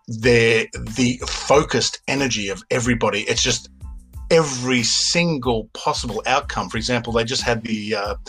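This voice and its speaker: A man's voice: fairly low pitch, a bit bright, poor audio. An Australian-accented man in his fifties, telling stories as an interview guest in an enthusiastic, anecdotal way. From Australia, grew up in Sydney. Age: 50s. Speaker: podcast guest, documentary filmmaker. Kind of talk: interview storytelling.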